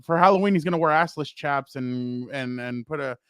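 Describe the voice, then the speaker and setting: A man co-hosting a casual sports talk show, loud and rambling, often laughing and trailing off. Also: mid-range voice, fairly quick, smooth, no room sound, clean studio sound. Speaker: co-host.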